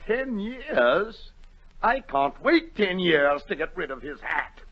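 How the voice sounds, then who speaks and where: A man's voice: high voice, sing-song, slow pace, exaggerated voice. An actor playing an imperious, impatient, pompous king in a 1940s radio drama. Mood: exasperated. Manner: pompous, commanding. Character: imperious, impatient, blustering king of a storybook realm. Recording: dull, raspy-edged 1940 radio recording.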